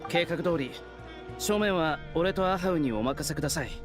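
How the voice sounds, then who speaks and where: A man's voice: fairly high pitch, quick pace, natural voice. A man playing a serious, cool-headed young warrior in an anime-style video game, polite and terse, over music. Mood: serious, reserved.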